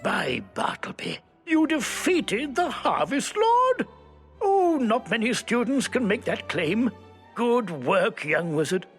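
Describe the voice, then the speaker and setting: A man's voice: extremely high pitch, very animated, takes it slowly, fairly bright tone, soft background music, full character voice. A man playing a kindly old wizard headmaster in a video game, theatrical and avuncular. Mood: impressed. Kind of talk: video game character acting.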